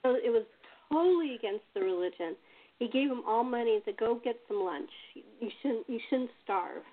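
A woman's voice: a little high, animated, normal speed. A woman hosting a casual chat, telling stories in a conversational way. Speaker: host and playwright. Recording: thin phone-like call audio.

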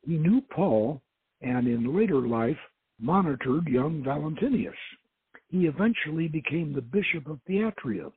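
An intense, learned woman archaeologist from an occult adventure story, voiced by a man. The delivery is earnest and lecturing, lifted in pitch but very husky and gravelly. It sounds thin, as over a phone line. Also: lively intonation, unhurried, dry recording, full character voice.

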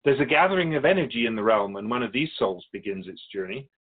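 Radio drama spirit, played by a man: calm, earnest, formal storyteller, measured and didactic. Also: medium pitch, narrow, tinny audio, lightly acted. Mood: solemn, explanatory. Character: calm otherworldly male soul keeper, earnest storytelling spirit. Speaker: interviewee.

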